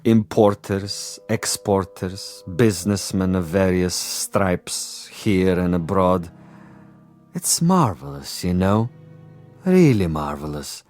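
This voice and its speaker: An actor playing a cunning, theatrical commissar in a radio drama, suave, precise and condescending, with an imperious air. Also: male voice, deep voice, a little mellow. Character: cunning, theatrical commissar, a patient, gloating assassin.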